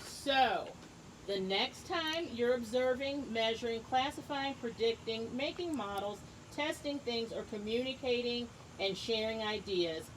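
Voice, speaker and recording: A woman teacher reading a book aloud to young children, encouraging them and pausing to ask questions and explain things simply. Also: fairly high pitch, slight background noise, poor audio. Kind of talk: read-aloud lesson with asides and questions to children.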